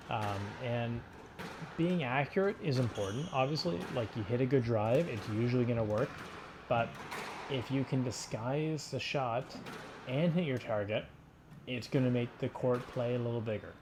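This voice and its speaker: A man with a medium-pitched voice gives instructional sports commentary as a coach. He explains in an informal, halting way, piecing his phrases together as he goes. Clear background noise with bouncing ball sounds runs behind his voice. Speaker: squash coach. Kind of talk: instructional sports commentary over drill footage.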